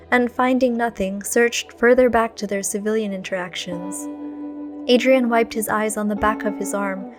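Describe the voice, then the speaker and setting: A woman reading a scripted story aloud in an expressive, literary way. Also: on the high side. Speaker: fan fiction reader.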